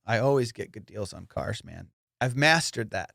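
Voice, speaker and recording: Young guy comedian ranting about his deals, swears a lot, flat dry room sound. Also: lowish voice, normal speed, gravelly, dry recording. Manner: profane, boastful storytelling with excited bursts. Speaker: comedian, podcast host. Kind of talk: casual comedic monologue.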